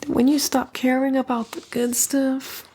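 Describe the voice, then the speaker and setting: A woman's voice: sits high, little pitch movement, a little slow, dark tone, slight background noise. A woman voicing a sly, sassy female demon in a whispered ASMR roleplay, coaxing and flippant, with disdainful slang. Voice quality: breathy, mostly whispered.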